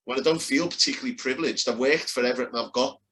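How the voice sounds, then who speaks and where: A man's voice: medium pitch, rapid-fire, fairly bright tone. A man with a Liverpool accent arguing his side in a heated casual debate, blunt and emphatic. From Liverpool, England.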